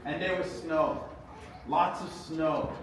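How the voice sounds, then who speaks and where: A man with a fairly high voice reads a children's story aloud at a slow, deliberate pace. His delivery is expressive and theatrical, full of dramatic pauses. He sounds distant from the mic in a very echoey, noisy room. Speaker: author reading own book. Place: echoey indoor event space.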